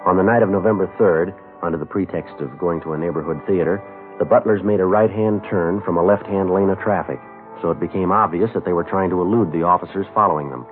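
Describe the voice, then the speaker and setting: An actor playing a stoic, businesslike police sergeant in a 1950s radio drama, speaking in a terse, clipped, matter-of-fact way. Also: male voice, low voice, quick pace, natural voice. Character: stoic, businesslike male police sergeant narrating his case. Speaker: narrator and lead detective. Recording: muffled old radio broadcast, music.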